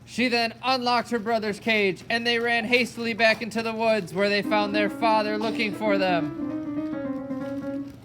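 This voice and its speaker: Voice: medium pitch, fairly even intonation, unhurried, music in the background, low-quality recording. A narrator telling a fairy tale in a storytelling style for a children's puppet show.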